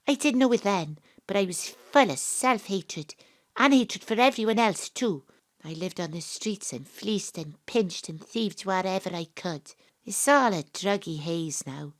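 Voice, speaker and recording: A woman's voice: lowish voice, animated, a little slow. An actress playing an earnest, troubled young Welsh woman who becomes a rugby captain, in an audio drama. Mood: haunted, remorseful. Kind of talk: audio drama monologue and dialogue.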